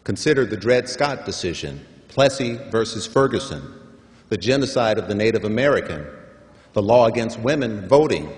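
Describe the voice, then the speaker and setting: An older man delivering a formal commencement address, measured and emphatic, building up to stressed points. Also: fairly low pitch. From San Francisco. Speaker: commencement speaker. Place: large hall at a graduation ceremony. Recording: long hall reverb.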